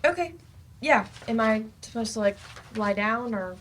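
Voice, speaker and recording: Student role, female actor: slightly high, slangy, blunt and awkward, live stage reading. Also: expressive, moderate pace, slight background noise, natural voice. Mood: awkward, casual.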